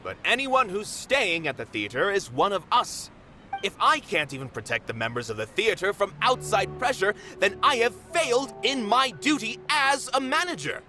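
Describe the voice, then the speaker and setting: A proud, protective theater manager for a video game. His voice sits a little high and moves expressively, formal and earnest in delivery, with music playing behind. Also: big pitch swings, moderate pace, sharp tone, heavily acted. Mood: resolute, earnest.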